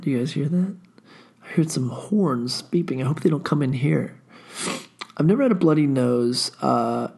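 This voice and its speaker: Gravelly-voiced man rambling, stuffed up. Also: mid-range voice. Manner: casual, profane, wandering storytelling with frequent fillers. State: stuffy nose, under the weather. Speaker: podcast host. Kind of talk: rambling solo podcast monologue.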